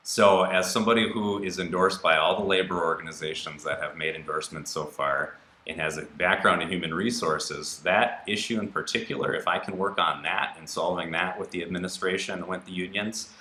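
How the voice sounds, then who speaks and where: Brief: American male candidate, very low pitch, forceful bright edge, roomy studio. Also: fairly even intonation, fairly quick. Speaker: city council candidate. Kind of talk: candidate forum answer. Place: local TV studio. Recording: roomy studio, faint background noise.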